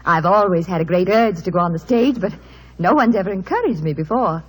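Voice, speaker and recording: Stage-struck British society wife, husky, very lively pitch, gushing and scolding, vintage radio drama recording. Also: female voice, a little fast, low-quality recording. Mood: gushing, eager. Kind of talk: old-time radio drama acting.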